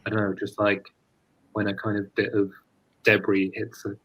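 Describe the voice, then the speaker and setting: A man's voice: fairly low pitch, monotone, normal speed, a bit bright, rough recording. A British man speaking as a guest poet in a casual online conversation, thoughtful and tentative, often restarting his phrases. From London, England. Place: live online video call.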